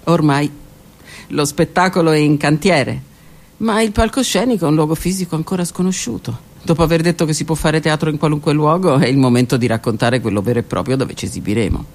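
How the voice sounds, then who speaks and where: A woman's voice: deep voice, a little fast, a little mellow. A woman performing a theatrical monologue for radio, intimate and reflective, moving between narration and direct address. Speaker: actress and theatre teacher.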